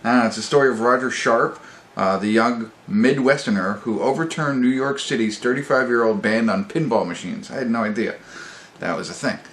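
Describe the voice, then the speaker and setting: A man hosting a casual, off-the-cuff movie review, speaking in a halting, rambling, conversational way. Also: mid-range voice, a bit bright. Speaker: host of a movie review show. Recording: roomy echo, background room noise.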